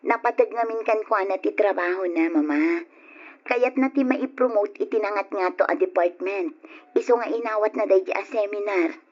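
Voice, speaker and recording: An actress playing a patient, dutiful young pregnant wife in an old radio drama. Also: female voice, medium pitch, moderate pace, darkish tone, close to an everyday voice. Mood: patient, explaining. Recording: dull, lo-fi radio drama recording.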